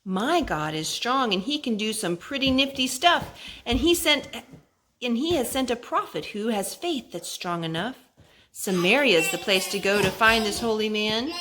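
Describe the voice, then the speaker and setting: A woman's voice: fairly high pitch, animated, moderate pace, fairly bright tone. A woman voicing a young servant girl in a rhyming storybook, reading her lines aloud in an earnest way.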